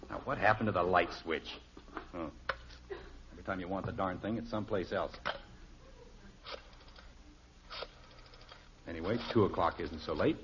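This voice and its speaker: A man's voice: on the low side, close to an everyday voice. An actor playing a grumbling, stubborn middle-aged American father, wry and exasperated, in a 1950s radio sitcom. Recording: muffled, faintly noisy old radio recording.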